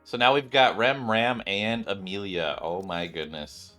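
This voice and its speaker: A man hosting a gaming channel with casual, excitable commentary, often exclaiming and repeating his phrases. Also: lowish voice, measured pace. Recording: music behind the voice.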